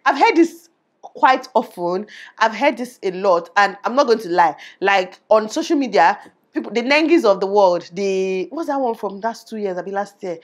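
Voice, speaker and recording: A young woman from Nigeria with a Nigerian accent, speaking in a small but loud voice, giving her opinions in a casual vlog with animated delivery. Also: on the high side. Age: young adult. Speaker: YouTuber. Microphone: lavalier.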